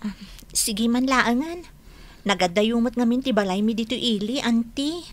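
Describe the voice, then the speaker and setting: A woman's voice: fairly high pitch, animated, unhurried. A woman playing a devoted, polite young daughter in a radio drama, with an Ilocano accent.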